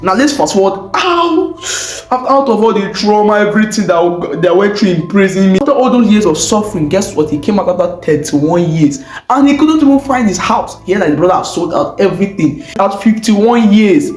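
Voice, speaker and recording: A man with a West African accent telling a story in an animated, informal way, full of exclamations, repeated phrases and rhetorical questions. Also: sits high, moderate pace. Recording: roomy echo, faint music bed.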